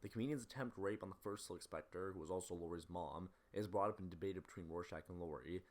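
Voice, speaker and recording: A man's voice: low voice, fast talker. A man giving scripted film commentary as a video essayist, in a critical, opinionated manner.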